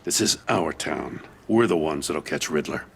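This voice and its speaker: A man playing a proud, gruff older police commissioner in a video game, with a gravelly, indignant delivery. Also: rumbling low, moderate pace, a bit bright, exaggerated voice. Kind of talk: video game dramatic acting.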